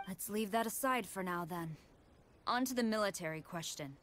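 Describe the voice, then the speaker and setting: A woman playing a stern, formal military commander in a video game, speaking with measured authority. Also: medium pitch, animated, fairly bright tone, slight background noise, lightly acted. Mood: stern, businesslike. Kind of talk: scripted video game dialogue.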